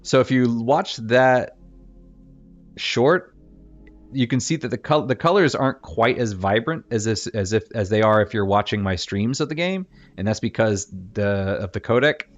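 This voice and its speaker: A man's voice: medium pitch, normal speed, dry recording. A middle-aged man with a Virginian accent, chatting casually and rambling while he streams a game. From Virginia, USA. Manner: rambling, self-correcting, thinks aloud. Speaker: gaming streamer. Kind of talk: casual chat while livestreaming gameplay. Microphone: desk mic. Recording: dull, lo-fi stream mic with game music.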